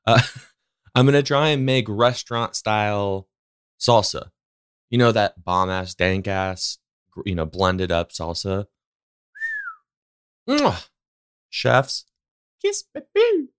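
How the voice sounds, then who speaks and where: A man's voice: lowish voice, wildly swooping pitch, slow, pauses often, fairly bright tone, clear, resonant tone, no echo, clean studio sound. A man in his thirties hosting a solo podcast, rambling in a casual, slangy, upbeat way and swearing freely. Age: 30s. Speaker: podcast host.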